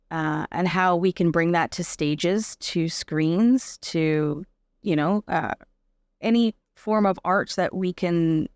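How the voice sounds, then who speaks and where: A woman speaking as an interview guest, giving a personal account in a reflective, measured and explanatory conversational way. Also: fairly low pitch, no echo, clean studio sound. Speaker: podcast guest, actor and advocate.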